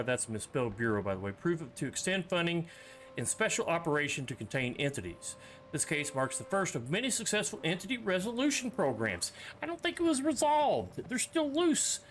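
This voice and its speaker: A man's voice: a little high, a bit bright, a little hiss or hum. A man giving casual commentary as he plays a horror game, reading screen text aloud with joking, offhand asides. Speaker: streamer playing a horror game.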